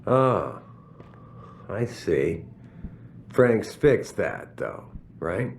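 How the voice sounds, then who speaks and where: Domineering, animated corporate boss. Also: male voice, lowish voice, a little hiss or hum, heavily acted. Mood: wary. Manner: emphatic, drawn-out, domineering. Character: commanding, evasive, proud corporate boss man. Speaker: company boss in video game. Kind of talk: video game cutscene acting.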